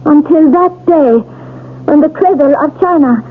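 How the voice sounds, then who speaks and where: An actress playing a troubled wife in a trance, speaking in a solemn, halting, dramatic manner in a 1970s radio mystery. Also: female voice, sits high, a little hiss or hum. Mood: trance-like, foreboding. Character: troubled wife in a trance, bleakly foretelling doom. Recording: tinny old radio broadcast, music behind.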